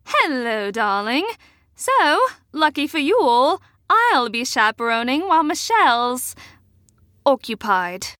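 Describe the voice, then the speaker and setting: A woman's voice: high voice, sing-song, slow, a bit bright, no room sound, studio quality, exaggerated voice. An actress playing a genteel older woman chaperone in an audio drama, sweet and theatrical with a faint menace. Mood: breezy, sweet.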